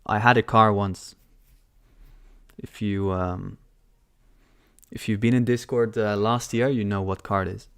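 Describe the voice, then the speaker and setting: A young man reads out viewers' questions and answers them in a loose, offhand way. His voice sits fairly low and comes across smooth and clear. He is on a headset mic in a small room, and the sound is close and dry. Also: fairly even intonation, a little slow, pauses often, no echo. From born in London. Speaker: trading livestream host. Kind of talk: casual live-stream Q&A.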